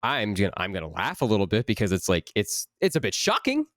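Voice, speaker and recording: Young male guest, lowish voice, lively, dry recording. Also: fast, dry recording. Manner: animated, many restarts, rising for emphasis. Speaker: voice actor guest. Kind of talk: casual interview chat.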